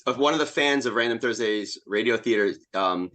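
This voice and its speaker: Low-voiced man, casual storyteller. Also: fairly quick, a bit bright. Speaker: podcast guest, playwright. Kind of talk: casual anecdotal chat.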